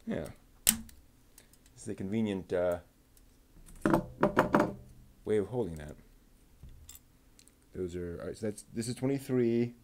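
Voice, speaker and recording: A man giving casual running commentary while he builds a model kit, talking slowly and methodically and half to himself. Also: lowish voice, heavy background noise. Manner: muttering to himself, slow and methodical.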